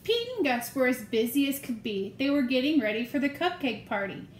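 High-pitched woman reading a picture book. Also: big pitch swings, brisk, slight background noise. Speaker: elementary school staff member reading aloud. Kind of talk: children's picture book read-aloud. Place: small room at home, indoors. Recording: roomy home recording.

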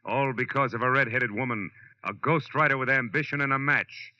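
An American actor playing a world-weary, tough private detective in a 1940s radio noir, clipped, colloquial and wry. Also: male voice, mid-range voice, brisk, sharp tone. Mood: ominous, dramatic. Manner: hard-boiled, clipped, colloquial. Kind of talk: 1940s radio acting, first-person noir narration. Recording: dull old radio recording, music behind.

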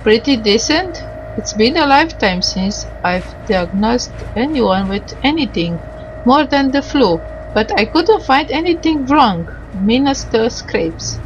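A woman doing a casual game playthrough, reading the on-screen dialogue aloud in a halting, tentative way and sounding tired. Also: a little high, big pitch swings, highs cut off, noisy background.

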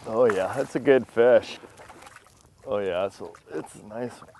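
A middle-aged man hosting a fishing show outdoors by a river, talking casually with quick, enthusiastic exclamations on a clip-on mic. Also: lowish voice, darkish tone, a little hiss or hum.

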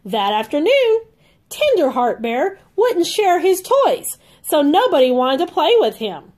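Female read-along host, expressive children's storyteller, slow careful delivery, high sing-song voice.

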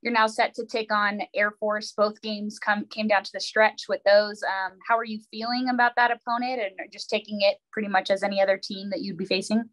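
A woman's voice: mid-range voice, level tone, fairly quick, hardly any pauses, a bit bright, poor audio. A woman, a sports reporter asking questions at a press conference over a video call.

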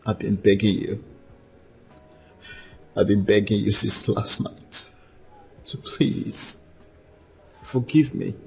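A man playing a guilty, pleading lover in a film drama, speaking haltingly. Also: on the low side, expressive, creaky, husky, heavily acted. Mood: pleading, contrite. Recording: reverberant room, faint music.

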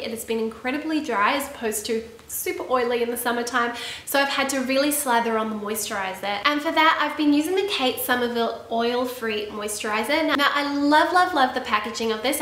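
A woman's voice: a little high, fairly bright tone, reverberant, slight background noise. Sniffly woman chatting about makeup. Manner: chatty and enthusiastic, drawn-out emphasis. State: stuffy and sniffly from a cold. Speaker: beauty vlogger. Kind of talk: casual product review to camera. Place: small room indoors, seated on a sofa.

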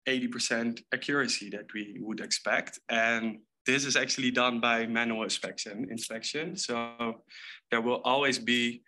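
A young man gives an explanatory conference presentation as a data scientist, with halting pauses. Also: mid-range voice, steady tone, bright, forceful tone. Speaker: data scientist, keynote speaker. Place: indoor stage with screens.

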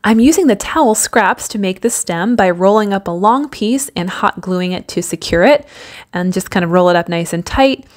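A woman narrates a do-it-yourself decor tutorial in voice-over, walking through each step in a practical, easygoing way. She fills pauses with ums and uhs as she explains, at an ordinary middle pitch. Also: animated. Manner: practical, step-by-step, with frequent fillers. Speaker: DIY home decor vlogger.

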